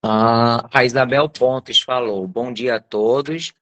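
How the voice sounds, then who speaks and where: Man, Brazilian accent, medium pitch, reading messages aloud, informal. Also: steady tone, slow pace, fairly bright tone, lo-fi sound. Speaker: reader of participant messages.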